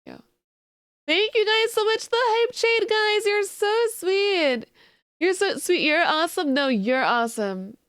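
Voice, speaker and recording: A woman's voice: extremely high pitch, a little slow, fairly bright tone, dry recording, clean studio sound. A woman streaming live who says she just woke up and has a sleepy voice, chatting casually and thanking her viewers.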